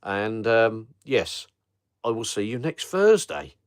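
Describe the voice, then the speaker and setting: A middle-aged man with a Norfolk accent, hosting a relaxed live chat for viewers of his travel channel, rambling with ums and chuckles. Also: medium pitch, takes it slowly, gravelly, clean tone, no echo, studio quality. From Norfolk, England. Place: home at night, streaming on a phone. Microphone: phone held in portrait mode.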